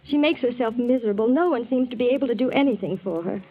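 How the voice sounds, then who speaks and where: A woman's voice: on the high side, fast, natural voice. An actress playing a lovelorn, dutiful young woman with an earnest, pleading manner in a 1940s radio drama. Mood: troubled, guarded. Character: lovelorn, dutiful young woman secretly worshipping a married man. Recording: dull 1940s radio broadcast recording.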